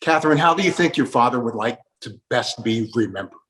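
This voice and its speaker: A man's voice: medium pitch, animated, brisk, fairly bright tone. A man hosting a reflective interview, asking guests for their memories. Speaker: interview host. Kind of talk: radio tribute interview.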